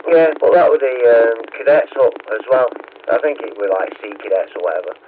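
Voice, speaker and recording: A man's voice: mid-range voice, expressive, brisk. A middle-aged man with a Northern English accent chatting casually and earthily as a CB radio operator. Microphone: CB radio microphone. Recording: noisy, tinny CB radio transmission with clicks.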